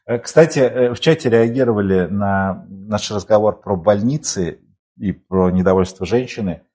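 A man's voice: on the low side, moderate pace, dark tone. A man with a Moscow accent co-hosting a talk show, mixing news commentary with banter and reading from the chat. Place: studio.

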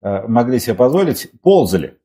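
A man with a Moscow accent and a mid-pitched voice co-hosts a talk show. He comments on news, reads out chat messages and banters as he goes. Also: big pitch swings, fairly quick, warm tone. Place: studio.

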